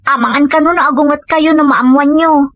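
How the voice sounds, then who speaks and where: Woman acting a high-voiced, reproachful Ilocano wife. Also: brisk, a bit bright, no room sound, clean studio sound. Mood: dismayed. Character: dismayed hard-working wife and daughter, reproachful, phoning home. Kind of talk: Ilocano comedy drama acting.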